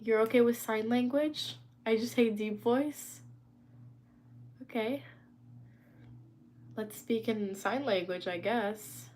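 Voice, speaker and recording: A woman's voice: fairly high pitch, slow pace, long pauses, a little mellow. A young woman chatting casually on a live stream from her phone at home, reading and answering viewers' comments. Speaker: livestreamer. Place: indoors at home.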